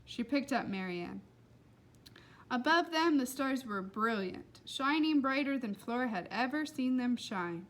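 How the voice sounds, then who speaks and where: A woman's voice: high voice, animated, unhurried, a bit bright. A woman hosting a children's read-aloud, telling the story expressively.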